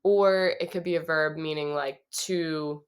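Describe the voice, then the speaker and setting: A young woman with a low voice, talking through her songwriting process in a relaxed, casual way with many pauses. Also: clear, resonant tone. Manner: relaxed, thinking aloud with many ums.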